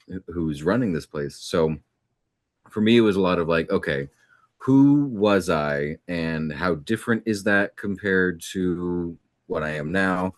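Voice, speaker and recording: Deep-voiced young man, easygoing interview guest. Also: no echo, clean studio sound. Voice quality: deep natural speaking voice. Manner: easygoing, reflective, many fillers. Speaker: voice actor guest. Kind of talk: casual interview chat.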